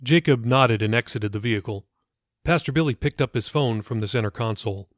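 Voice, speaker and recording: A man's voice: medium pitch, fairly quick, no room sound, studio quality. A man reading his own novel aloud as scripted audiobook narration.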